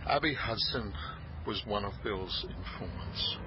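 An actor playing a clipped, evasive senior police officer in a naturalistic radio crime drama. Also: male voice, fairly low pitch, lively intonation, unhurried, dull audio, noisy background. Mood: defensive. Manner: clipped, guarded.

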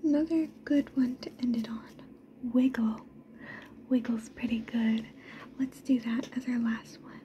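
A woman whispering softly in an ASMR video, repeating trigger words in a slow rhythm with a gentle, playful, soothing manner. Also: on the high side, muffled recording, slight background noise. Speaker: ASMR creator. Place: indoors at home.

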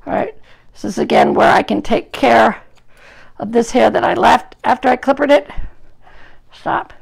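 A hoarse woman groomer with a gravelly voice, chatting to live-stream viewers while trimming a dog in a grooming salon, recorded on a phone. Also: fairly high pitch, lively intonation, normal speed. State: hoarse from an illness. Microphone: phone camera propped at the grooming table.

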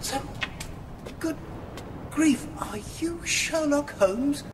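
A man's voice: animated, very slow, recorded from a distance, exaggerated voice. An actor voicing a furtive, wary male villain in disguise for a radio drama. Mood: startled, wary. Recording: off-mic, clear rumbling background noise.

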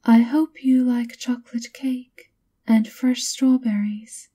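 Woman acting as a slow, whispery gothic castle maid. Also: on the high side, steady tone. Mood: politely servile. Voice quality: breathy, whispered softness. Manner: formal, measured, polite. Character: soft-spoken, subservient, coolly formal female maid of a vampire countess. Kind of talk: ASMR roleplay, scripted and whispery.

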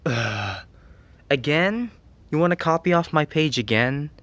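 A man's voice: a little high, animated, a little slow, fairly bright tone, no room sound. A young man playing a grumpy, teasing tsundere teenage best friend in an ASMR roleplay, scolding in an exasperated, whiny way.

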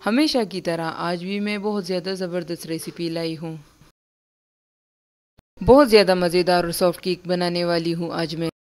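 A young woman host giving a calm, step-by-step recipe voice-over for her home cooking channel. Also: fairly low pitch, normal speed. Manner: calm, instructive, repeats steps plainly.

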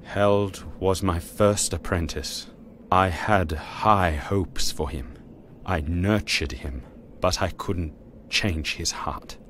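Stern wise elf master, low slow voice, solemn, game cutscene. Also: male voice, a bit bright, slight background noise. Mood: sorrowful, solemn. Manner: solemn, measured. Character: grave, stern elven master sage, sorrowful and wise. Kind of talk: dramatic video game acting.

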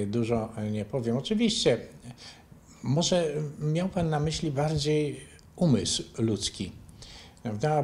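An older man from Poland answering interview questions in a measured, didactic, explanatory way. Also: mid-range voice, a little mellow. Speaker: interview guest, bioenergy therapy teacher and author. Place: furnished living room, indoors.

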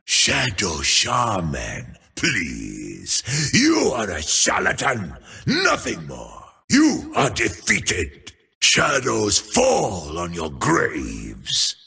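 A grandiose troll shadow priest who proclaims his dark power in a very slow, swooping delivery. His voice is raspy and gravelly, breathy yet bright and forceful, and it often rises to a shout. These are menacing video game battle lines. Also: lowish voice, huge pitch swings, exaggerated voice. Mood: boastful.

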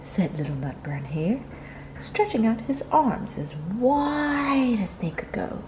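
A woman reads a picture book for small children. Her mellow delivery is slow and deliberate, rising and falling expressively, with some noise in the background. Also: fairly high pitch, sing-song. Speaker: storyteller.

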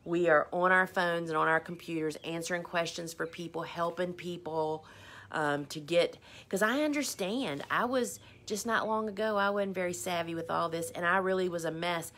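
A woman hosts a relaxed live stream, answering viewer questions. Her voice sits a little low for a woman. She talks in a chatty, rambling way and pokes fun at herself. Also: moderate pace. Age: 50s. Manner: chatty, rambling, self-deprecating. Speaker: host.

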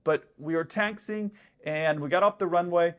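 Pilot in his thirties, fairly high voice, casual explainer to camera, indoor room. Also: normal speed, smooth. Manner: explanatory, with frequent "let's say" asides. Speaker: airline pilot commenting on radio audio.

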